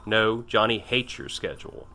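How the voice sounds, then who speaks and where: A man's voice: fairly low pitch, lively intonation, normal speed, bright, forceful tone, soft background music, rough recording. A man playing a loyal, frank and kindly military right-hand man in an audio drama.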